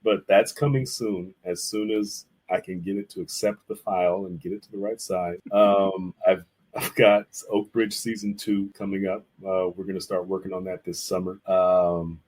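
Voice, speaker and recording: A man with a low, husky voice talks casually as an interview guest. He is a visual artist who explains his work informally, reflecting as he goes. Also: flat delivery, moderate pace, a little mellow, poor audio.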